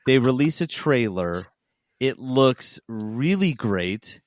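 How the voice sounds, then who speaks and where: A man's voice: medium pitch, expressive, slow pace, no room sound, studio quality. A man co-hosting a gaming podcast in casual chat. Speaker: podcast co-host.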